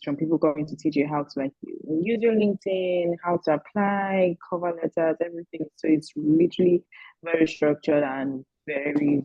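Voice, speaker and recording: A young woman student answering questions on a video call, chatty and lively, full of "like" and "you know". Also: deep voice, vocal fry, husky, no room sound. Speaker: current MBA student panelist. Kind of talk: casual spoken answer. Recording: dull lo-fi video-call audio.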